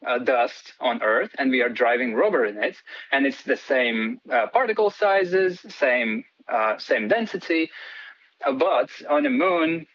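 A man's voice: medium pitch, expressive, a little slow, a bit bright, highs cut off, a little hiss or hum. A man from Latvia, a PhD student guest on a radio interview, talking hesitantly with many fillers and restarts.

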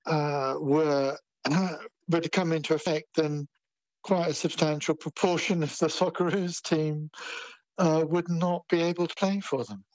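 A middle-aged man from Australia speaks as an academic guest in a radio interview, thinking aloud with many restarts and pauses. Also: fairly high pitch, fairly even intonation, a little mellow, no room sound, poor audio. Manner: hesitant, many fillers and restarts.

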